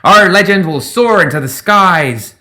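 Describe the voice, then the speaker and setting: Gremlin pirate captain, played by a male narrator: pitched up, loud, pompous, speechifying. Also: expressive, a little slow, full character voice. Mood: grandiose. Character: grandiose gremlin sky pirate captain, male, endlessly monologuing. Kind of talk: dramatized audiobook character voice.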